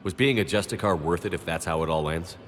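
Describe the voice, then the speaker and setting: Man playing a deep-voiced military commander. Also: fast talker, lightly acted. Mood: earnest. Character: steady human male military commander. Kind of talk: cinematic video game acting. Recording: long hall reverb.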